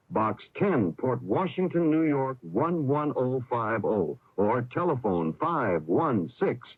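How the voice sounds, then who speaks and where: Male announcer, husky mid-range voice, animated scripted read, narrow tinny radio sound. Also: medium pitch. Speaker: public service announcer. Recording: tinny old radio recording.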